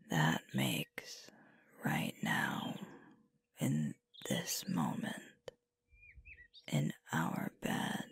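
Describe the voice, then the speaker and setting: Woman as a breathy, whispering, sleepy girlfriend. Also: very deep voice, no room sound, full character voice. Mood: sleepy, tender. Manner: slow, drowsy, tender murmuring. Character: sweet, sleepy, affectionate young female werewolf girlfriend. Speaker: ASMR roleplay performer. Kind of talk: intimate girlfriend roleplay ASMR. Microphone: close microphone, dry.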